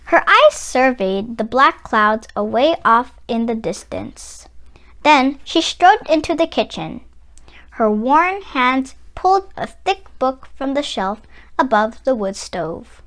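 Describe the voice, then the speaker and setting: A woman reading a children's story aloud with dramatic, expressive delivery and drawn-out words. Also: sits high. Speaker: children's book reader.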